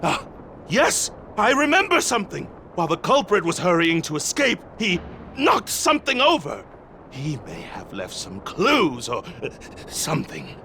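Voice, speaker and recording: Casting: jumpy game patrolman, high voice swinging wildly, melodramatic and halting, music underneath. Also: wildly swooping pitch, bright, forceful tone, slight background noise, exaggerated voice. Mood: anxious, eager. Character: frightened, flustered male night patrolman recounting a theft. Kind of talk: animated video game voice acting. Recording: music behind the voice.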